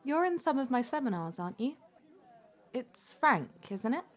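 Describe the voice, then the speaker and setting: An actress playing a friendly young university student in an audio drama, with a bright, lilting voice. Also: female voice, sits high, very animated, moderate pace. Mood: friendly, inviting. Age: young adult. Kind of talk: naturalistic audio drama dialogue.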